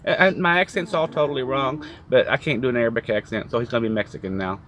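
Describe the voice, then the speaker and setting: A man's voice: medium pitch, fast talker, fairly bright tone, dull audio, slight background noise. A man chatting casually as a trucking vlogger, rambling and joking as he tells stories from a truck cab. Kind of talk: casual chat.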